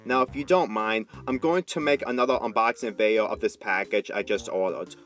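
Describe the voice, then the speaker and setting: A man's voice: lowish voice, fairly quick, a bit bright, faint music behind, close to an everyday voice. A man voicing a friendly, earnest male pet dog who makes videos, in a casual, eager way, for a story reading.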